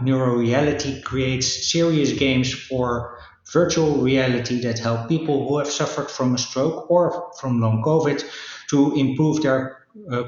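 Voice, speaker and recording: A man's voice: mid-range voice, heavy reverb, muffled recording. A man with a Dutch accent, a native Dutch speaker, hosting and introducing an interview podcast. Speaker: podcast host.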